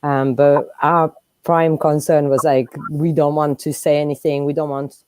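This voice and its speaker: A woman guest in a casual group discussion, speaking haltingly and searching for her words. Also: sits low, level tone, a little mellow, no room sound.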